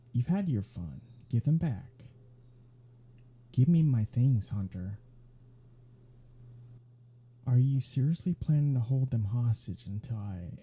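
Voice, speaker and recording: A man's voice: medium pitch, warm tone, breathy edge, full character voice. A man playing a smug, centuries-old vampire in an intimate ASMR roleplay, teasing with a sarcastic drawl.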